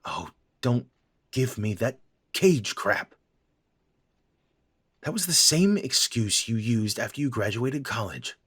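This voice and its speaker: A man voicing a gruff, stubborn middle-aged father with a raspy voice, blunt and scolding, trailing into hesitant pauses. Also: mid-range voice, expressive, no room sound, clean studio sound, exaggerated voice. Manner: blunt, scolding, softening into hesitant halting speech. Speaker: voice actor. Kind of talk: audio drama acting.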